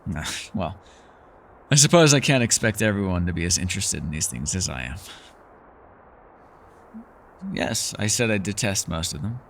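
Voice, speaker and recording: Man voicing a theatrical, secretive elf with lively intonation. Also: deep voice, brisk, slight background noise. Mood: coy, curious. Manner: theatrical, playful, slightly formal phrasing. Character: mysterious male elf, erudite, teasing, secretive. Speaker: voice actor in an ASMR roleplay. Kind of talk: fantasy ASMR roleplay acting.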